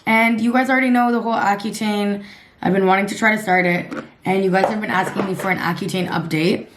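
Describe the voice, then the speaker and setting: Raspy woman, twenties, blunt casual vlog chat, swears. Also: mid-range voice, fairly even intonation, fast, noisy background. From Canada. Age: 20s. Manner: blunt, chatty, swears often, laughs at herself. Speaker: lifestyle vlogger.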